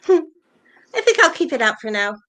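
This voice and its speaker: A woman's voice: fairly high pitch, big pitch swings, brisk, fairly bright tone. A woman playing a playful, bossy older wife reminiscing fondly with a spouse in a staged play reading. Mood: wistful, delighted. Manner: teasing, nostalgic, conversational.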